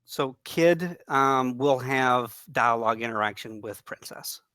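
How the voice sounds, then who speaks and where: A man's voice: medium pitch, measured pace, bright, forceful tone, no echo, studio quality. A man taking part in an online table read, speaking casually to the group. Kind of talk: casual chat.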